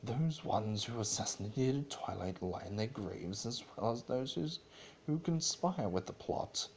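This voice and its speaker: A man's voice: medium pitch, expressive, a little slow, a little mellow, a little hiss or hum. A man voicing a concerned, firm younger pony princess, earnest and exasperated, in a dramatic story reading. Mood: firm, reproachful.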